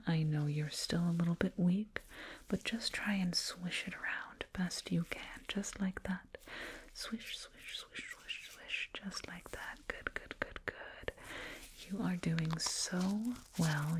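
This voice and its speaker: A woman voicing a soothing, condescending alien dentist in a breathy whispered ASMR roleplay, close to a binaural microphone. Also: lowish voice, full character voice. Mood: hushed, reassuring. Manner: slow, soothing, murmured repetitions. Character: condescending, soothing alien dentist, fussing over a little human.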